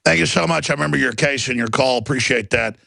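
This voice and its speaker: A man's voice: mid-range voice, fast, vocal fry, pushed and bright, dry recording. A man with a Texas accent hosting a combative call-in radio show, ranting indignantly and restarting his sentences. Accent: Texan. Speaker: radio talk show host.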